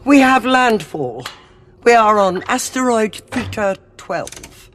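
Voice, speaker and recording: An actress playing a commanding, sharp-tongued older female cardinal in a science fiction audio drama, clipped and imperious. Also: on the high side, big pitch swings, slow, a little hiss or hum. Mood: brisk command.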